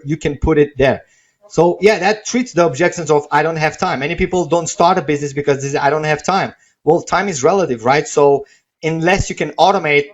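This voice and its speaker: High-voiced man pitching on a lo-fi webinar. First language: not English. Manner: persuasive, repeats checking tags like okay and right. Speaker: webinar host pitching software. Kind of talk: live sales webinar pitch, unscripted. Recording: dull, lo-fi webinar audio.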